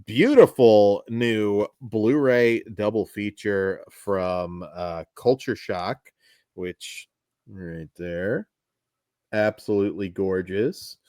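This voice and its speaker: Co-host, male, low and dry, swings his pitch all over, voice worn from recording all day. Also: no echo. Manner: animated, self-deprecating, joking. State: voice going tired after long recording. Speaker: podcast co-host. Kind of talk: casual film review chat.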